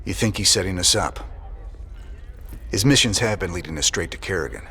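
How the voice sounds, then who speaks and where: An actor playing a gruff, world-weary space rebel commander in a video game, terse. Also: male voice, deep voice, lively intonation, a little fast, sharp tone, full character voice. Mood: wary, questioning. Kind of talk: video game cutscene acting. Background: music.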